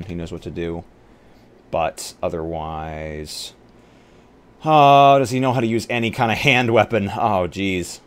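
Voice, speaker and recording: A man chatting casually on a tabletop roleplay livestream, thinking aloud and bantering with some profanity. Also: low-pitched. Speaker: tabletop roleplay streamer and player. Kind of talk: casual livestream chat while building a game character. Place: live online game stream. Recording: clear background noise.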